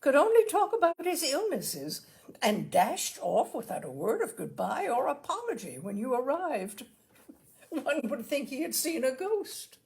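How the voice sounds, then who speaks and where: A woman voicing a brisk, gushing middle-aged hostess who chatters cheerfully in a storybook reading. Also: high-pitched, big pitch swings, vocal fry, a little mellow, pitched above the performer's own voice, exaggerated voice. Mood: puzzled, chatty.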